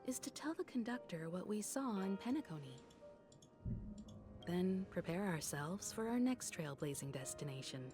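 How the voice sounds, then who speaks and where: A woman's voice: on the low side, animated, normal speed, close to an everyday voice. A woman voicing a determined young traveler in an anime game. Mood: purposeful. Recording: clear game music behind.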